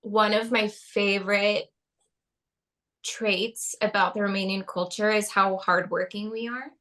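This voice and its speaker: A young woman talks earnestly as a podcast guest. Her tone is heartfelt, and her speech is loose and conversational, full of "um" and "like." Her voice sits at an ordinary middle pitch. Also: fairly even intonation, measured pace, sharp tone. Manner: earnest, heartfelt, with frequent fillers like "um" and "like". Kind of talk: casual conversational interview.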